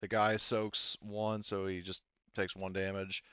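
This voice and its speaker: A man's voice: deep voice, level tone, unhurried, sharp tone, muffled recording, a little hiss or hum. A man acting as game master, casually narrating a tabletop roleplaying game, swearing and laughing readily.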